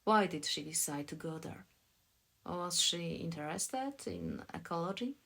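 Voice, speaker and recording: A woman voicing a measured, persistent man who asks probing questions in an audiobook. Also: on the low side, lively intonation, creaky, a bit bright, heavily acted.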